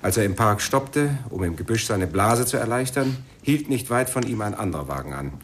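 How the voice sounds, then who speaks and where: Police sergeant: low pitch, strongly modulated, formal deliberate report, radio crime play. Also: male voice, lively intonation, slight background noise. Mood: factual, grave. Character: matter-of-fact male police sergeant reciting a statement. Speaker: actor in a radio crime drama.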